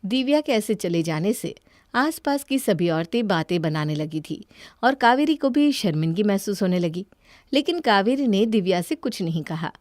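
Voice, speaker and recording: A woman's voice: mid-range voice, lively intonation, moderate pace, a little mellow, no echo, clean studio sound. A woman reading scripted story narration.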